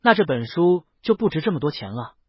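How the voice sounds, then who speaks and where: A man's voice: on the high side, very animated, fairly quick, husky, no echo, highs cut off. A man voicing an earnest, naive, rich young nobleman in an audiobook, candid and eager. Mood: dejected.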